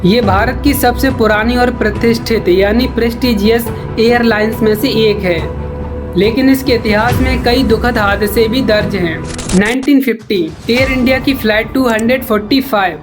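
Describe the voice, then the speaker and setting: A woman delivers scripted documentary-style news narration. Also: fairly high pitch. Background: background music.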